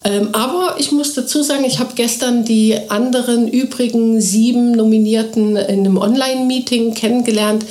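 A woman who runs a kindergarten, answering radio interview questions eagerly and fluently, often filling pauses with short hesitation sounds. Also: fairly high pitch, fairly even intonation, warm tone. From Thuringia, Germany. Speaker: kindergarten director. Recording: echoey room.

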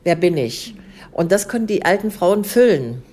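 A woman's voice: lowish voice, animated, normal speed, mellow. A woman giving an earnest interview answer, explaining her point with frequent hesitations. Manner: earnest, hesitant, many fillers.

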